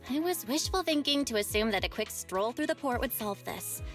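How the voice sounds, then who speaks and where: A woman acting as a perky younger sister in a video game. Her voice is high and girlish, with a playful, teasing, chatty delivery over soft music. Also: normal speed, lightly acted. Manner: playful, lively, conversational.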